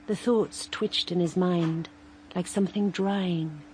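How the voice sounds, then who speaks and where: Woman narrator, radio drama, low voice, slow, faint hiss behind. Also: steady tone, darkish tone, rough recording. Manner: measured, unhurried storytelling. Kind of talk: scripted narration.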